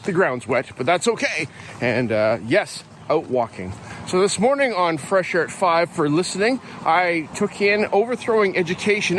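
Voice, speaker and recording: A man's voice: a little high, a little hiss or hum. A middle-aged Canadian man hosting a podcast, talking casually with many fillers and restarts while out on an early morning walk. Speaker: podcast host. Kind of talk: casual spoken reflection.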